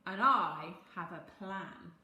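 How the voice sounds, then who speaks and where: Lady doing a young storybook prince, earnest, reassuring, slow delivery, echoey room. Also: fairly low pitch, pushed and bright, highs cut off, a little hiss or hum, heavily acted. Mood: resolute. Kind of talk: children's storybook character voice.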